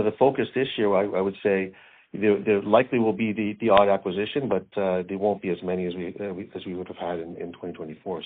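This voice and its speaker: A man speaking as a finance executive, answering questions on an earnings call in a halting way, repeating words as he goes. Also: lowish voice. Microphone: conference call line. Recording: thin phone-like conference call line.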